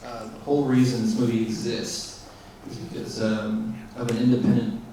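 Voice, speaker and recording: A man, the filmmaker, answering audience questions in halting speech full of fillers and restarts, recorded in a theater. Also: mid-range voice, flat delivery, warm tone, slight background noise. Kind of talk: post-screening Q&A answers. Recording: echoey theater from far off.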